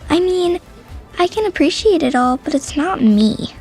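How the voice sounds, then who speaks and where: A woman's voice: very high-pitched, lively intonation, unhurried, darkish tone, faint music behind, exaggerated voice. A woman voicing a moody teenage girl who is a goth outsider, talking in a blunt, earnest, defensive way. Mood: sulky. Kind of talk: audio drama acting.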